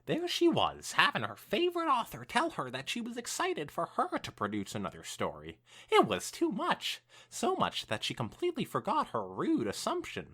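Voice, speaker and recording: Very animated fanfiction narrator, female voice. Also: fairly low pitch, normal speed, slightly rough. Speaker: podcast co-host reading fanfiction aloud. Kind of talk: animated story narration with casual asides.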